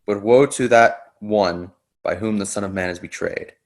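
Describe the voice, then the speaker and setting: A man's voice: fairly low pitch, moderate pace, close to an everyday voice. A man playing a solemn teacher who foretells his death, in a readers theater scripture reading.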